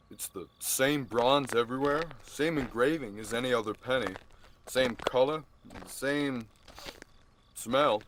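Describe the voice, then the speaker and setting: A young man acts an easygoing, skeptical husband in radio theatre. His mid-pitched voice moves around a lot. He tends to double back on his words. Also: noisy background, lightly acted. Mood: baffled. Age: young adult. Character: easygoing, skeptical, kindly married everyman.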